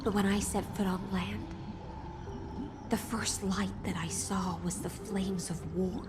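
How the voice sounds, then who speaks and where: A woman playing a solemn female knight from the deep sea in a video game, formal and brooding. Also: steady tone, unhurried, heavy background noise, close to an everyday voice. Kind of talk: scripted video game voice acting. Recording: loud music over the voice.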